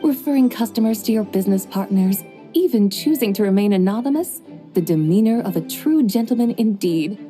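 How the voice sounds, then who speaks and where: A woman voicing a calm, formal female traveler in a video game, speaking in a measured and precise way. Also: medium pitch, faint music behind, lightly acted. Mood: composed.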